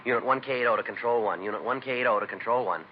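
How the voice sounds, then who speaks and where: Guy acting tired no-nonsense cop on old 50s radio show, deadpan, muffled noisy recording. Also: medium pitch, racing, close to an everyday voice. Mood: official, urgent. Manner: terse, matter-of-fact, deadpan. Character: weary, businesslike male police detective and narrator. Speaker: police detective narrating the case. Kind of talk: 1950s radio crime drama acting and clipped narration.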